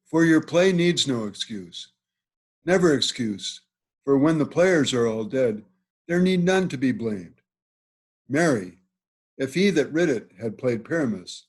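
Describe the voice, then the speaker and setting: A man's voice: mid-range voice, a little mellow, husky. A man playing a witty, mocking nobleman in an amateur Shakespeare table read, with dry teasing delivery over a video call. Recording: home video call recording.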